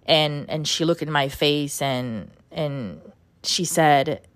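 A middle-aged woman from Brazil, a native Portuguese speaker with a Brazilian accent, hosting her podcast and telling a personal story in an earnest, halting way full of fillers. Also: low voice, no echo. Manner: earnest, halting with frequent fillers and soft laughs. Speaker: podcast host.

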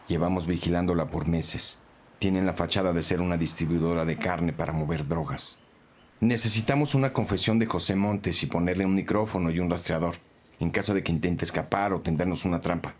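An actor playing a blunt, commanding, driven police investigator in a crime audio drama. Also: male voice, low-pitched, brisk. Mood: resolute. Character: blunt, driven male police investigator hunting cartel bosses.

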